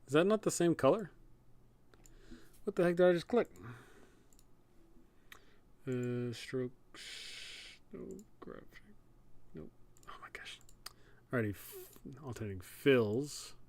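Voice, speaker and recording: A man's voice: mid-range voice, slow, long pauses, a little mellow. A man hosting a livestream, thinking aloud in short, trailing phrases as he works and chats casually. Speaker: livestream host, tabletop game master and content creator.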